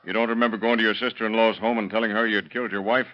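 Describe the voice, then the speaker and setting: An actor playing a gruff, mocking, pushy police detective in 1930s radio drama style. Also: male voice, fairly low pitch, little pitch movement, racing, close to an everyday voice. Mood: mocking, insistent. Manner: wisecracking, pushy. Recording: muffled vintage radio recording.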